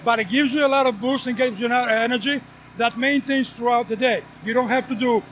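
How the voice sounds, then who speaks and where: Greek-accented man, very high voice, earnest vendor pitch, faint traffic outdoors. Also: fast, fairly bright tone. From Greece. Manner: earnest sales pitch, lists products. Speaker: coffee vendor. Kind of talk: outdoor event interview. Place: outdoor race event.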